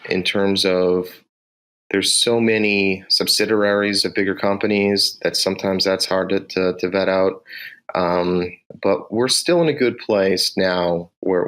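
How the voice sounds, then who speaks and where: A man with a Boston accent, a sales team manager, answering podcast questions in a direct, measured way and explaining things step by step. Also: low voice, fairly bright tone, rough recording.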